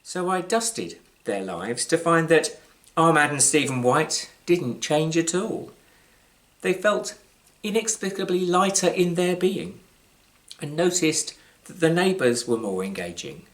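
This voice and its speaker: A British man reads his own short story aloud in a measured, wry way, with deliberate pauses and varied emphasis. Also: fairly high pitch, expressive. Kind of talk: scripted literary storytelling, live reading.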